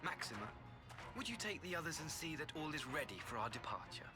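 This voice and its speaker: An actor playing a young imperial officer in a video game, courteous on the surface but sinister and fanatical, with formal, theatrical diction. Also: male voice, fairly high pitch, big pitch swings, brisk, over loud music. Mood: polite, smug. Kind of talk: scripted video game cutscene acting.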